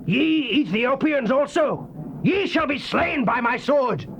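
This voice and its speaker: High-pitched, dramatic British man reading scripture. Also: animated, slow pace, creaky. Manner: solemn, declamatory, theatrical. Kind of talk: dramatized King James Bible reading. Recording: noisy old cassette tape recording.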